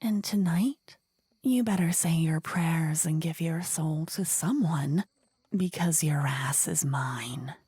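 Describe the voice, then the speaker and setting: A woman's voice: low voice, takes it slowly, warm tone, breathy edge, no echo, heavily acted. A woman playing a spiteful, taunting rival necromancer woman in a whispery fantasy ASMR roleplay, menacing and mocking.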